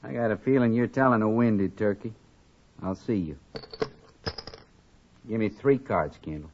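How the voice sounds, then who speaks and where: An actor playing an easygoing, teasing miner in a 1950s radio western, with a folksy American Western accent. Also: male voice, fairly low pitch, moderate pace, natural voice. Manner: folksy, familiar, joshing. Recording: noisy, muffled 1950s radio recording.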